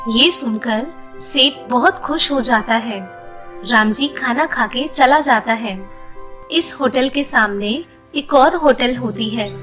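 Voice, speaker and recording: A woman giving scripted narration of children's moral stories. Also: high voice.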